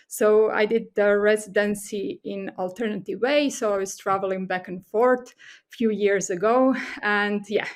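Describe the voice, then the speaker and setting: Female podcast guest, academic expert with a Croatian accent, medium pitch, explains in a halting conversational way. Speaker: guest expert, assistant professor.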